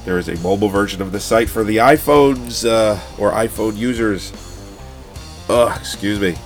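Tired, slightly sick guy doing casual podcast talk, low voice, sweary and informal. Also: moderate pace, faint music behind. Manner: profane, informal. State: under the weather, tired. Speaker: podcast co-host.

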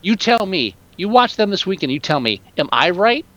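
A man's voice: sits high, very animated, normal speed, a bit bright, dry recording, muffled recording. A man co-hosting a podcast, ranting in exasperated, heavily profane and opinionated casual talk. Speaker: podcast co-host. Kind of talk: casual, opinionated film chat.

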